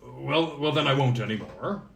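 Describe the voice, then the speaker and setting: A man's voice: mid-range voice, lively intonation, moderate pace, gravelly, a bit bright, distant mic. Male reader as worried fairy-tale father, humble, hesitant, pleading; echoey room. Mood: polite, earnest. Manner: hesitant, stammering, protesting. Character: anxious father seeking his stolen child, humble, pleading. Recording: roomy, echoing sound.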